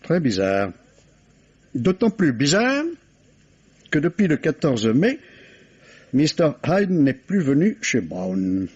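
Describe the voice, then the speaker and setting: An actor playing a formal, insistent police inspector in a French radio mystery, questioning in measured, pointed phrases. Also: male voice, fairly high pitch, big pitch swings, a little mellow, breathy edge, full character voice. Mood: pointed, suspicious. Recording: dull, muffled old radio recording.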